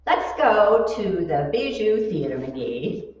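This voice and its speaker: A woman's voice: mid-range voice, big pitch swings, slow, over loud music. A woman playing a sensible, affectionate wife in a 1940s radio comedy, with a theatrical, coaxing delivery. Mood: brisk. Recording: echoey hall, distant mic, muffled.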